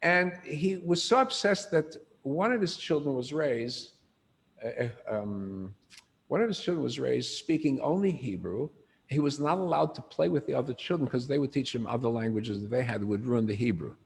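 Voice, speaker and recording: Gravelly older man lecturing with lively questions. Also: medium pitch, normal speed. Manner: animated storyteller, asks the room questions. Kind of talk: informal lecture to a group.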